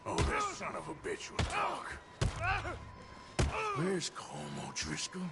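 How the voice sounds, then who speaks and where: An actor playing a blunt, steady American outlaw gunman in a Western video game, with a drawl. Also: on the high side, slow pace, vocal fry, very noisy, heavily acted. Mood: menacing. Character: gruff Old West outlaw gunman, tough but steady. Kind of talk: video game drama acting.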